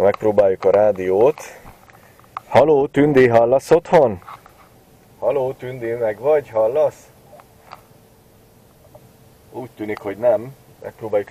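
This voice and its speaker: A man in his forties talks through a gadget review in a low, mellow voice. His delivery is relaxed and chatty, with many hesitation fillers, and the camera mic leaves a faint hiss behind him. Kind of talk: casual product review vlog.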